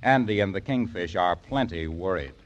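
A man's voice: low-pitched, lively intonation, normal speed, dull audio. A man with an American accent announcing a 1940s radio show. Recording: vintage radio broadcast before live audience.

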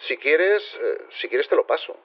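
An actor playing an unruffled zoology lab worker, matter-of-fact and deadpan, in a naturalistic audio comedy. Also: male voice, on the high side, normal speed. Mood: deadpan, helpful. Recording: muffled phone-like line.

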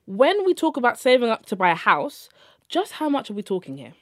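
A woman's voice: a little high, wildly swooping pitch, a little fast, a bit bright, no room sound, studio quality. A young woman hosting a podcast, introducing her guest and asking questions in a friendly, conversational way. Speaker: podcast host. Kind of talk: podcast interviewing.

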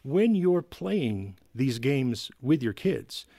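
Middle-aged male podcast guest-reviewer, mid-pitched, casual and halting, very dry recording. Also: very animated, dark tone, no echo. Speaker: video game reviewer, podcast regular. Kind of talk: casual conversational discussion.

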